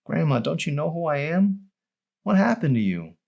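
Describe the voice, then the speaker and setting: A man's voice: fairly high pitch, big pitch swings, normal speed, vocal fry, darkish tone, dull audio, pitched above the performer's own voice, full character voice. A man voicing a frightened but loving teenage grandson in an audiobook, pleading in an urgent, strained way. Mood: frantic.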